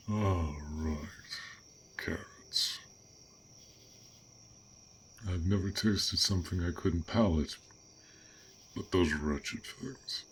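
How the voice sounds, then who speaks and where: Man voicing a slow, deep, courteous dragon. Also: slight background noise. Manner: formal, old-fashioned wording, unhurried and pensive. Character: gentle, courteous, curious male dragon, formal and archaic. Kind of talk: scripted roleplay audio drama.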